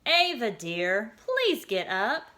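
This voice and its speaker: A woman voicing a kind, graceful robotic caretaker who speaks in a gentle, old-movie style. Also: a little high, huge pitch swings, slow, voice pushed up, heavily acted.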